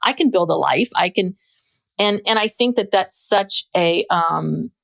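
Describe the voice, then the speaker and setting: A woman co-hosting a casual podcast, talking in animated bursts with frequent restarts and laughter. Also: fairly low pitch, unhurried, creaky, no room sound. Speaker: podcast co-host.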